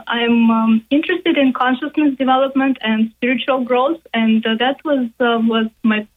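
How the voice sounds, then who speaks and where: A woman professor, a guest on a radio talk show, speaking earnestly with halting restarts. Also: on the high side, narrow, tinny audio. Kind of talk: casual interview.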